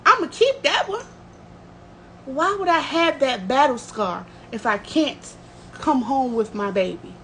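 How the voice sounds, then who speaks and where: Bright, higher-voiced woman sharing her story. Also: expressive, unhurried. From United States. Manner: candid, emotional, emphatic, swearing. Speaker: livestream host. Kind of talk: personal storytelling on a livestream. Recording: clear background noise.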